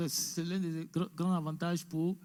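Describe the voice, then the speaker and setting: A man presents an information session in a large, echoing hall. His voice sits a little high but sounds mellow. He explains each point step by step and drops English words into his French. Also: little pitch movement, measured pace. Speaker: immigration presenter. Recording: echoey hall.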